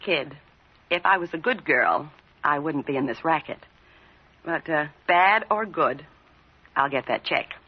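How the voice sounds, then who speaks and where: Cynical gangster's moll, lowish female voice, hard-boiled, threatening, slangy, old radio drama, muffled recording. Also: expressive, measured pace, pauses often, slightly rough, exaggerated voice. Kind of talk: old-time radio crime drama acting.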